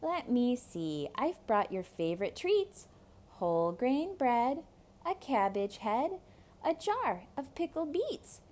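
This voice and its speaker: A woman voicing a polite, quick-thinking little boy in a children's storybook. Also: fairly high pitch, very animated, darkish tone, pitched above the performer's own voice. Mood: eager, placating. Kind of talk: theatrical children's storybook read-aloud.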